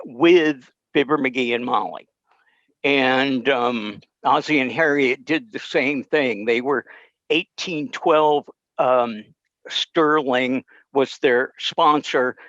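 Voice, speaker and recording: Slow-talking older man on a video call. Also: a little high, a bit bright, no echo. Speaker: presenter of old-time radio session. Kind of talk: informal explanatory lecture. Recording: dull video-call audio.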